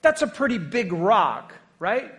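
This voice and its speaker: Male pastor, high-pitched, chatty joking sermon delivery. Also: big pitch swings, a little slow, a bit bright. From United States. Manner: conversational, joking, asks the congregation "right?".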